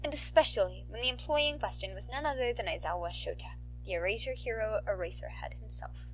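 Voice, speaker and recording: Amateur female narrator for fan fiction, bright high voice, informal and expressive delivery. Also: a little fast, slight background noise, low-quality recording. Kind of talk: animated fan fiction narration, casual asides.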